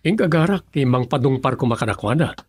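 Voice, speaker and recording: An actor playing a concerned gentleman in an Ilocano radio drama, speaking with a Filipino accent. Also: medium pitch, animated, quick pace, husky. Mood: concerned, insistent. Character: concerned gentleman driver, male, addressed respectfully as sir.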